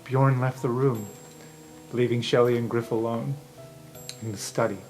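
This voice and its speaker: A man running a tabletop game as game master, describing scenes in a relaxed, casual way. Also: medium pitch, moderate pace, soft background music.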